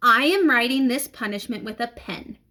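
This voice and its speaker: A strict schoolteacher played by a woman reading aloud speaks in a formal, measured way. She is a storybook figure who admonishes firmly, with lively rises and falls in her voice. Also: on the high side. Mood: stern.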